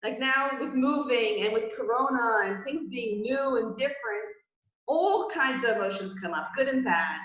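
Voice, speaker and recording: A woman's voice: a little high, lively intonation, moderate pace, a bit bright. A woman co-hosting a casual chat, giving earnest, reflective advice to clients and listeners. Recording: echoey, slightly muffled room.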